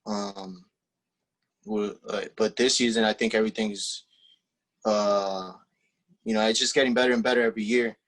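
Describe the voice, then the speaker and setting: A teenage man, a young footballer whose first language is Spanish, answering interview questions in a relaxed, halting way with many fillers and restarts. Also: on the low side, poor audio. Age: teens. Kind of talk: casual interview.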